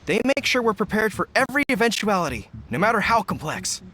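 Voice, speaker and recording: An earnest young army general in a game, high voice, formal and dutiful, really lively intonation. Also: male voice, exaggerated voice. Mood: earnest, admiring. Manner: formal, deliberate, deferential to orders. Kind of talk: video game character acting.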